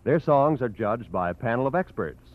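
Male radio announcer, animated pitch, muffled old broadcast sound. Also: normal speed. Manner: lively promotional delivery.

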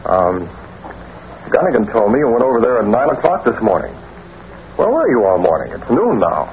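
An actor playing a determined, authoritative young man with a crisp, earnest delivery in 1940s radio style. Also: mid-range voice, sing-song, normal speed. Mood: probing. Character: determined, authoritative young male publisher and secret crimefighter. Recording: muffled, noisy old radio recording.

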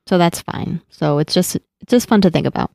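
A podcast co-host chatting casually, relaxed and explanatory. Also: mid-range voice, moderate pace, vocal fry, no echo, clean studio sound. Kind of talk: casual chat.

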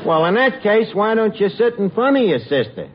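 An actor playing a cocky, half-educated New York bar manager who talks pompously in 1940s radio comedy style. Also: male voice, high-pitched, big pitch swings, a loud crowd. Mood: patient, explaining. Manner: pompous malapropisms, drawn-out delivery. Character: cocky, half-educated wise-guy bar manager mangling big words. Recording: muffled old radio recording, noisy, audience.

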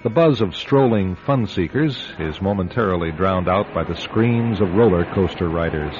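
Casting: low, solemn radio drama narrator, deliberate and atmospheric, music underneath, muffled vintage sound. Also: male voice, expressive. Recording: muffled old radio recording. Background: prominent music.

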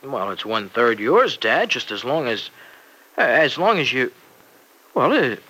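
An actor playing an eager, earnest young farmer son in a vintage radio soap opera. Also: male voice, mid-range voice, big pitch swings, a little slow, bright, forceful tone, breathy edge, full character voice, rough recording. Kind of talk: 1940s-50s radio soap opera acting. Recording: vintage radio broadcast recording.

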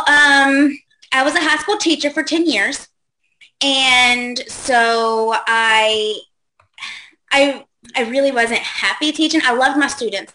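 A woman's voice: fairly high pitch, bright, forceful tone, slight background noise, poor audio. A woman in her thirties, a guest on a casual call-in show, chatting loosely with lots of ums and likes. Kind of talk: casual interview answers.

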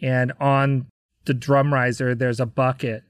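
An American man, a musician being interviewed, telling anecdotes in a casual, animated way and acting out the dialogue. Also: medium pitch, a little slow, clear, resonant tone, dry recording, clean studio sound. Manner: conversational, acts out quoted dialogue. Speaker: interview guest, rock drummer.

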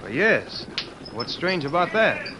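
A man's voice: fairly high pitch, big pitch swings, slow. An actor playing an earnest, determined man in his twenties in an old radio western. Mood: friendly. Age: 20s. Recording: noisy old radio recording.

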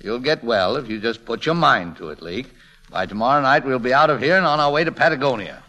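An actor playing an eccentric, flustered gentleman painter in a 1940s radio play, brusque and prone to stammering. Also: medium pitch, lively intonation, brisk, lightly acted, poor audio. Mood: blustering, dismissive. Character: eccentric, blustering gentleman painter, fond of his servant.

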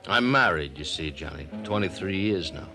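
An actor playing a tipsy, world-weary middle-aged radio producer who rambles theatrically and laughs in a 1950s radio drama. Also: male voice, low-pitched, animated, normal speed, music in the background. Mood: confiding.

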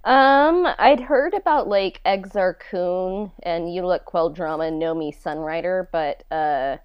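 A woman chats casually as a podcast guest, telling personal stories. Her voice is smooth and on the low side, recorded dry and slightly muffled. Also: a little slow, no echo. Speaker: podcast co-host being interviewed.

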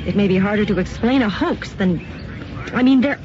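A woman's voice: mid-range voice, fairly quick, over music, lightly acted. An actress playing an earnest, uneasy woman who works in publishing, in a radio drama. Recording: noisy, muffled old radio drama recording.

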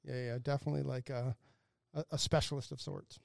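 A man's voice: medium pitch, flat delivery, fairly quick, gravelly, a little mellow, no room sound, studio quality. A man hosting a casual live podcast in a chummy, joking way. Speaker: podcast host.

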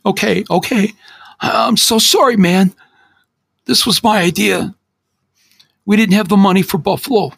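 A man's voice: high-pitched, a little slow, hardly any pauses, breathy edge, no echo, studio quality, pitched above the performer's own voice, exaggerated voice. A man voicing a frightened fifteen-year-old boy who is crying, stammering and pleading. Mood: sobbing, pleading.

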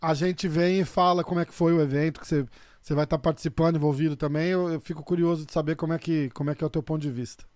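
Um homem do Brasil, apresentador de um canal, conversa de forma animada e cheia de gírias com o convidado. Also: male voice, a little high, steady tone, rapid-fire, a little mellow, dry recording, clean studio sound. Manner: enthusiastic, slangy. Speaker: host. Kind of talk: casual interview chat.

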